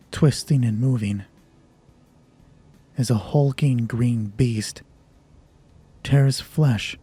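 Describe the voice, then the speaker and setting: A man's voice: medium pitch, dark tone, slightly rough. A man narrating a solo tabletop roleplay as game master, thinking aloud in a conversational way.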